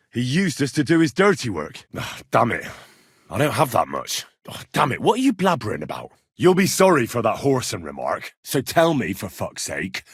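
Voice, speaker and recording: Young medieval adventurer for a game: blunt and earthy, quick to threaten, husky voice, very mobile pitch, dry studio sound. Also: male voice, no room sound. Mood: irritated, confrontational. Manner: blunt, earthy, sweary, conversational. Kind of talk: video game dialogue acting.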